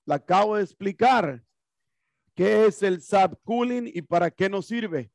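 A man's voice: high-pitched, lively intonation, measured pace, vocal fry, no room sound, clean studio sound. A man in his thirties teaching an HVAC class in a classroom, asking questions and answering them himself. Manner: explanatory, asks and answers his own questions. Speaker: HVAC instructor. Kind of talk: classroom lecture. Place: classroom with whiteboard and HVAC equipment. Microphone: headset mic.

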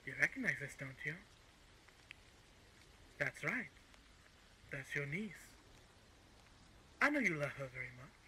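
An actor playing a smug, menacing male crime boss in an audio roleplay, speaking in a mocking, condescending and deliberate way. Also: a little high, sing-song, bright, forceful tone, slight background noise, full character voice, rough recording. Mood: coolly menacing. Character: smug, menacing male crime-organization villain, coolly coercive. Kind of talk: scripted audio roleplay villain acting.

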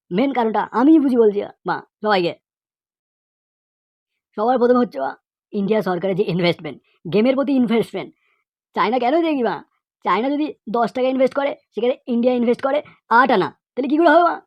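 A woman's voice: fairly high pitch, expressive, fast talker, pauses often, dry recording, lo-fi sound. A woman voicing an outspoken cartoon pupil, lecturing emphatically with repeated phrases and rhetorical questions. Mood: insistent. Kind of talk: cartoon comedy monologue.

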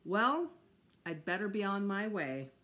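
A woman's voice: mid-range voice, sing-song, unhurried, a bit bright. A woman voicing a sarcastic, exasperated schoolboy, the put-upon older brother in a children's storybook. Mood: resolute. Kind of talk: children's book read aloud.

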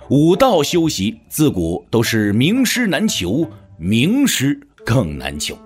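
A man narrating a martial-arts novel as a dramatic audiobook, with a measured, expressive storyteller's delivery. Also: medium pitch. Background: background music.

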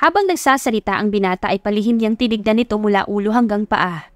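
A woman's voice: medium pitch, animated, fast, no room sound. Woman narrating romance, close and dry. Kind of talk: scripted romance story narration.

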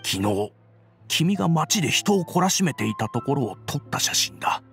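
A cautious businessman in an anime game. He is formal and wry, with a fairly high, animated male voice over faint music. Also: moderate pace, gravelly, exaggerated voice. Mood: grave. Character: wry, wary businessman, long-time trading partner. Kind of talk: Japanese anime game voice acting.

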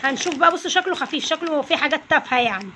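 A woman's voice: high-pitched, lively intonation, racing, bright, forceful tone, slight background noise. A woman with an Egyptian Arabic accent chatting casually as a channel host, coaxing children along as they open snack bags at home. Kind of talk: casual unboxing chat with children. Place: home, indoors, family around. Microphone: phone held by hand.

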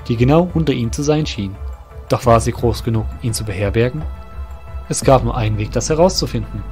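Man, audio drama narrator, scripted fantasy storytelling, medium pitch, background music. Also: a little mellow. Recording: music under the voice.

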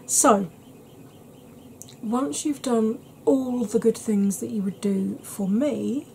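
A woman in her thirties chatting to camera at home in a soft ASMR style, rambling and often dropping into whispers. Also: a little high, slow pace, gravelly, a little hiss or hum. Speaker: beauty vlogger. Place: small cluttered room at home.

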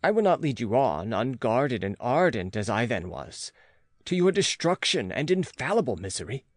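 A man reading a classic gothic novel as an audiobook narrator, in a measured, formal and dramatic delivery. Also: mid-range voice, very animated, no room sound, studio quality.

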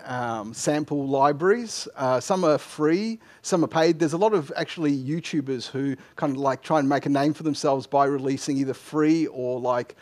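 A middle-aged man answering technical questions informally as a conference speaker, in a halting way with frequent false starts. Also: a little high, darkish tone. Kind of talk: informal technical Q&A after a talk. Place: indoor lecture room, at a lectern. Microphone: headset microphone.

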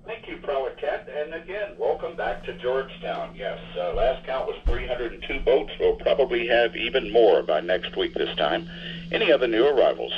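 A man gives brisk announcements and welcomes new arrivals on a marine radio net. His voice comes through a dull radio speaker, heard from across a roomy cabin with faint noise. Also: medium pitch, sing-song, distant mic. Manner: brisk, businesslike.

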